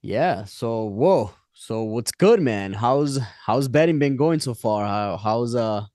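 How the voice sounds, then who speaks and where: A man's voice: mid-range voice, lively intonation, slow, a little mellow, dry recording, clean studio sound. A man in his late twenties hosting a casual interview, talking in informal, buddy-like slang. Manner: informal, bro-style slang. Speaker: podcast host, interviewer. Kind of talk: casual chat.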